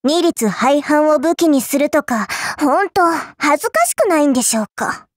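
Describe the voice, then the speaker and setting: Small cute spirit girl for an anime game: extremely high pitch, sly and teasing, polite but smug, dry studio sound. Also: lively intonation, moderate pace, no echo. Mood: scornful, teasing. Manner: polite, coy, teasing, cutesy. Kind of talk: anime game character voice lines.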